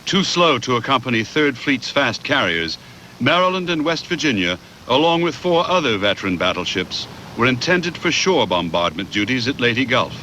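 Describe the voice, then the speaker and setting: A man reads scripted documentary narration in a measured, factual way. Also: mid-range voice, sing-song, sharp tone, slight background noise, low-quality recording.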